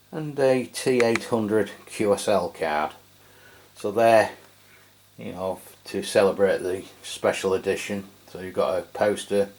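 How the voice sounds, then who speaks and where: A man giving a casual, hands-on product review as a channel host, speaking in a low-key, hesitant, unscripted way. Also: darkish tone. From England.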